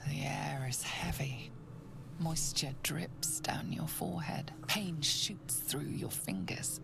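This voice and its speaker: An actress playing a grave, ominous narrator of a fantasy video game, in a breathy, near-whispered voice. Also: female voice, deep voice, slow, heavily acted. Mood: hushed, ominous. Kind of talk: scripted second-person game narration.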